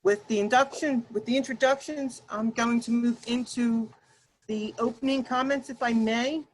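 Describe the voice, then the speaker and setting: A woman with a loud, projecting voice leads an online workshop, addressing her listeners directly. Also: fairly high pitch, steady tone, normal speed, slight background noise, rough recording. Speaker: workshop leader and Toastmasters speaker. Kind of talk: workshop presentation to an online group. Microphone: video call.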